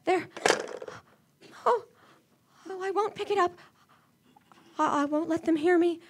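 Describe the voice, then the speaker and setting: A woman's voice: extremely high pitch, measured pace. A woman playing a nervous, demanding invalid wife, stammering and pleading in a 1940s radio suspense drama. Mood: frightened, hushed. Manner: agitated, stammering, imperious, pleading.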